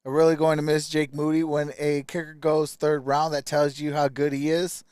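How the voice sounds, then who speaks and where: A man's voice: fairly high pitch, level tone, normal speed, a little mellow, clear, resonant tone, dry recording, studio quality. A man hosting a live stream, giving casual, colloquial sports commentary like a die-hard fan.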